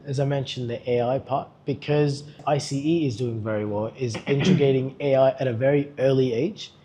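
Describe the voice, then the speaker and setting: A man's voice: medium pitch, steady tone, mellow, a little hiss or hum. A young Australian man answering interview questions with enthusiasm, stumbling now and then. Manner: enthusiastic, slightly halting. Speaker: student at an education camp.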